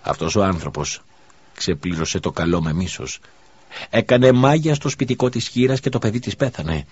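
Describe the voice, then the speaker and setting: A man's voice: lowish voice, lively intonation, a little mellow, slightly rough. A man voicing angry townsmen who accuse a stranger before the governor, in a dull lo-fi recording. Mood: accusing. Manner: indignant accusation.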